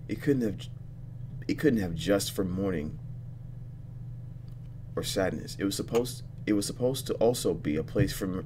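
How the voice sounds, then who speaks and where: Male let's player, lower register, expressive, switches between reading game text and commentary; faint noise and hum behind. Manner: expressive, thinking out loud. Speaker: let's player reacting to a visual novel.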